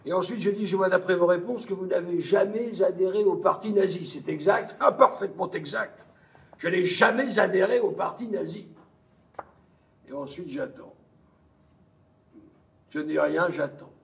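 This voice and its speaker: A man's voice: high voice, vocal fry. A man acting on stage as a brash, domineering American army major in French, blunt, sarcastic and coarse in his speech. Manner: blunt, sarcastic, colloquial, swearing. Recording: distant echoey stage pickup.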